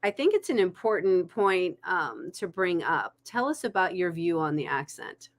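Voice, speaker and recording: A woman's voice: lowish voice, big pitch swings, normal speed. A woman hosting an interview, asking loose, hesitant questions. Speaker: interview host. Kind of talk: casual interview chat.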